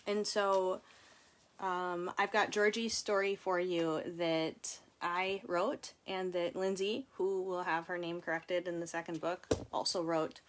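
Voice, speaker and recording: Woman, slightly lower pitch, author reading aloud, chatty, informal livestream style. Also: level tone, slow. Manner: informal, chatty, with asides to viewers. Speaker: author reading from her book. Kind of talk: casual livestream book reading and chat.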